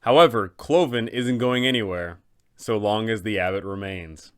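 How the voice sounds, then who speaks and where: A man's voice: fairly low pitch, normal speed, fairly bright tone, clean tone. A man hosting a casual explanatory guide for tabletop game masters, talking in a conversational way. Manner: conversational, rhetorical questions. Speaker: host, game master giving advice.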